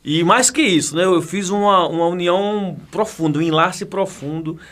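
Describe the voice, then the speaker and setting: A man's voice: sits high, moderate pace, a bit bright. A man, chatting as an interview guest who jokes and laughs often, repeating words as he talks. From Bahia, Brazil. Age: 40s. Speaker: guest, federal police chief and teacher. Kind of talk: casual interview talk.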